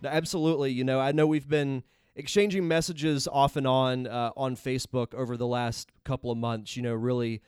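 A man hosts a conversational podcast. His voice sits at an ordinary male pitch and is recorded close and dry, with very little room around it. Faint music can be heard along with it. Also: fairly even intonation, a little fast, a little mellow, smooth, dry recording. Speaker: podcast host.

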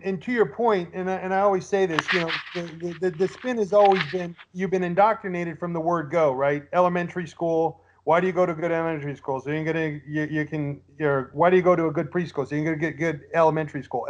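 A man speaking as a podcast guest in casual, opinionated discussion, using rhetorical questions and mimicked quotes to argue his points. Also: on the high side. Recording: dull lo-fi audio.

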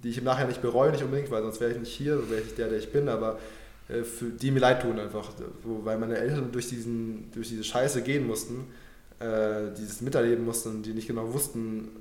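A young man speaks quickly and earnestly, his words tumbling out with filler words and slangy turns as he reflects. His voice sits at an ordinary middle pitch. The room around him rings a little. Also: steady tone, a little mellow, echoey room. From Germany. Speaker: guest. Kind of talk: casual personal interview.

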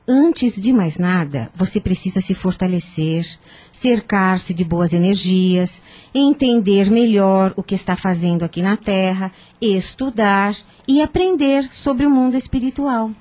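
A woman playing a calm, wise spiritist counsellor in a radio drama, with measured, gentle, didactic delivery. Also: mid-range voice, lightly acted. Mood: reassuring.

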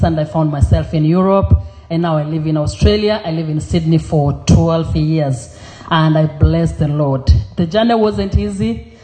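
A middle-aged woman from Kenya, giving an earnest testimony as a guest preacher in church, pausing often with fillers. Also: fairly low pitch, warm tone, rough recording. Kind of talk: testimony sermon.

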